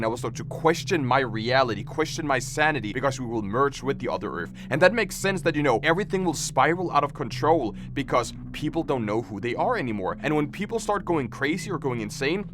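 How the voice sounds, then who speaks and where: A man's voice: medium pitch, noisy background. A man hosting a reaction video, casually theorizing aloud in slangy, conversational commentary.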